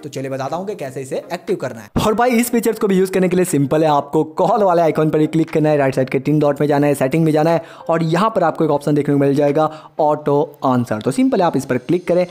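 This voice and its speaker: A man's voice: a little high, quick pace, hardly any pauses, warm tone. A man hosting a smartphone tips tutorial in an informal, chatty way, talking to viewers like buddies. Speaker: tech tutorial host.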